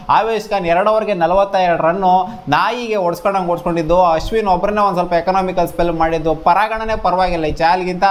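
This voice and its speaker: A man gives casual sports commentary in a slightly high voice. He speaks very fast, in a colloquial, exclamatory way, as a channel host would. He is close-miked on a lapel in a small indoor room. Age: 20s. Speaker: host of a cricket review channel. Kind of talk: casual match commentary to camera. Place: small indoor home studio. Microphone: clip-on lapel mic.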